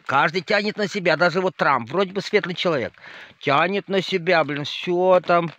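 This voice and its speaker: A man's voice: on the high side, a bit bright. A man from northern Moldova, speaking as a spiritual leader in a rambling monologue full of fillers, addressing listeners directly. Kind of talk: spoken monologue to viewers. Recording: slightly dull lo-fi audio with faint noise.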